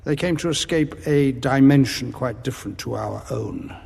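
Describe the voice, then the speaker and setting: An actor playing a haughty alien zealot who serves ancient dark powers, speaking formally and solemnly in an audio drama. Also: male voice, mid-range voice, normal speed, gravelly, breathy edge, long reverb tail. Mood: solemn, portentous.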